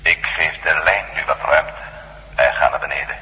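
An actor playing a determined former astronaut turned reporter in a radio drama, terse and earnest. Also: male voice, extremely low pitch, normal speed, sharp tone, slightly rough, in a large hall, dull audio, full character voice. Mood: tense, awed. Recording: faintly hissy old radio drama recording.

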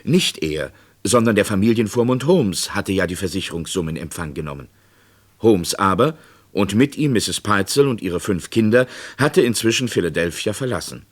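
A male narrator with a somewhat low voice reads a true-crime radio play. His delivery is sober and measured, like a documentary report. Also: lively intonation.

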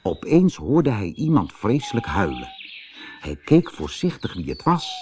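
A man tells a scripted fairy tale for children. His delivery is slow and dramatic, swinging widely in pitch. A faint noise sits behind the voice. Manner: expressive, dramatic. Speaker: narrator. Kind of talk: scripted children's audiobook storytelling.

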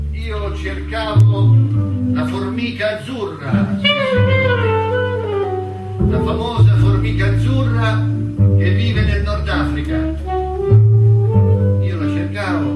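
Slow, wistful older intellectual, echoey. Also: male voice, sits high, lo-fi sound. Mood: tender, wistful. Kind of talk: theatrical radio drama monologue. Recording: echoey, distant stage recording with music.

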